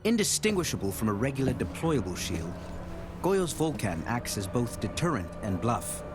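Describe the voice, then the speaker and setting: Military director played by a man for a shooter game: formal, deliberate, scripted, mid-pitched with animated inflection. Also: lightly acted. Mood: measured, formal. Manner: formal, measured, deliberate delivery. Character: male special-forces director, measured, formal, appraising his operatives. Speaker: game announcer introducing operators. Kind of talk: scripted narration. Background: music.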